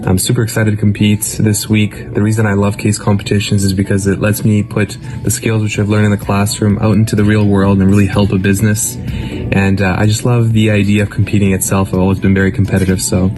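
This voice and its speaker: A young man, a third-year student, introducing himself in an upbeat but monotone way. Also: on the low side, a little fast, low-quality recording. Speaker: student competitor. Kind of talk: scripted self-introduction. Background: music.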